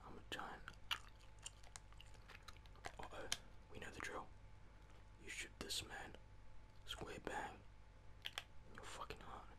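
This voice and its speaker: A man's voice: slow, pauses often, bright, forceful tone. Young man whispering ASMR game commentary. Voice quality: breathy unvoiced whisper. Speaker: gaming video creator.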